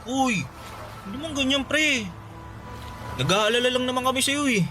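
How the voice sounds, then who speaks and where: A man's voice: very high voice, normal speed, sharp tone, faint music behind. An actor with a Filipino accent playing a concerned, peacemaking young boy in radio drama style. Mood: concerned, placating.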